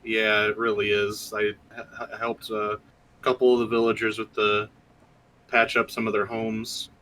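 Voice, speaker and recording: A man in a tabletop game, speaking in character in a halting, hesitant way. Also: lowish voice, completely flat delivery, sharp tone, rough recording. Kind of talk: playing his character in casual play.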